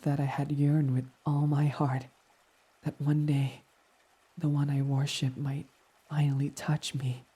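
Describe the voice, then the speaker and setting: A man voicing a devoted, submissive fox boy servant in an intimate ASMR roleplay, reverent, hesitant and breathy. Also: fairly high pitch, little pitch movement, unhurried. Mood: awed, yearning. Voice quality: soft, breathy. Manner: reverent, self-deprecating, hesitant, breathy half-whispers.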